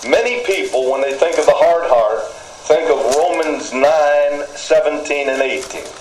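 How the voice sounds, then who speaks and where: Older man, preacher, sermon, measured delivery, folksy asides, roomy echo, faint hiss. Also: fairly high pitch. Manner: slow, deliberate, folksy asides.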